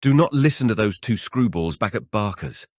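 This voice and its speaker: A man's voice: lowish voice, lively intonation, moderate pace, a little mellow, no echo, studio quality, voice pushed up, natural voice. A man voicing an exasperated, cautioning older father-in-law in audiobook dialogue.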